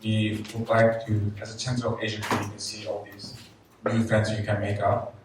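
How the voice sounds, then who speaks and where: Organizer guy doing a quick halting intro, low flat voice, far away, big echo. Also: noisy background. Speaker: event organizer. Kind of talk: event announcement. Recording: echoey hall, distant mic.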